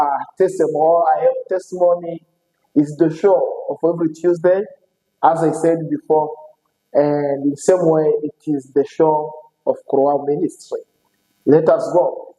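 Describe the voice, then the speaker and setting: A man hosting an interview show, speaking non-native English with frequent fillers and quick "okay" and "yes" replies. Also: on the high side, warm tone, in a large hall, low-quality recording. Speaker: show host. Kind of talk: casual interview.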